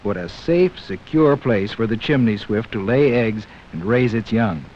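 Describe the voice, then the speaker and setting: A dull, faintly hissy 1960s film soundtrack carries a man's narration. His pitch is mid-range and moves in lively swings. He explains things to young viewers in a measured, scripted educational style.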